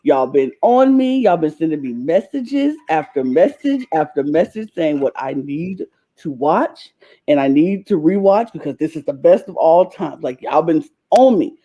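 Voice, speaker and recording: Excited podcast guy, warm and mellow, kinda muffled lo-fi mic. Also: on the high side, normal speed. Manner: animated and emphatic, addresses fans directly. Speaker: podcast co-host. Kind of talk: casual chat reacting to reality TV. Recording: dull lo-fi mic.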